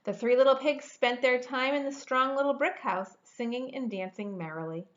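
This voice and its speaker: A woman's voice: high voice, moderate pace, lo-fi sound. A woman in her forties reading a children's storybook aloud expressively, stretching words for dramatic emphasis. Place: indoor room at home.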